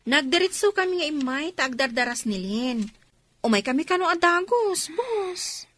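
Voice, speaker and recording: Teasing young female student, outspoken, Filipino accent, high and swooping, radio drama. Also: very animated, normal speed, fairly bright tone, no room sound. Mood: playful.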